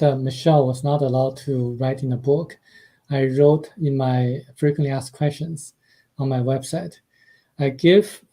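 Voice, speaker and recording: Soft-spoken Chinese-accented man, very mellow. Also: on the high side. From China. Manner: hesitant, many uh fillers, soft-spoken. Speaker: guest author and translator. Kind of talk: radio interview.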